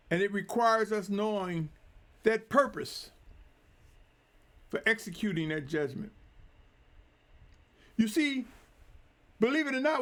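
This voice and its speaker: A man preaching a sermon in a deliberate, emphatic way, drawing out key phrases. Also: high-pitched, fairly bright tone.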